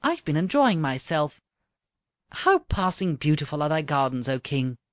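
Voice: medium pitch, big pitch swings, moderate pace, no echo, clean studio sound, close to an everyday voice. An audiobook reader voicing a young princess who speaks in formal, old-fashioned phrases. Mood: earnest. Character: proud, sporty young princess.

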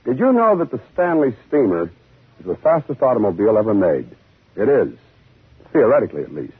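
A man giving a scripted introduction as host of a 1950s radio show, with a husky voice. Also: on the low side, wildly swooping pitch, fairly quick. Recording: muffled narrow-band 1950s radio recording.

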